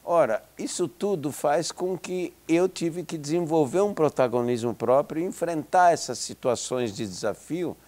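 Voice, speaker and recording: A man's voice: a little high, normal speed, hardly any pauses, a little mellow. Older man, hesitant and reflective. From Israel. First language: Hebrew. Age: 70s. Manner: hesitant, with many restarts and fillers. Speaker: interview guest, psychology professor. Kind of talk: reflective interview talk.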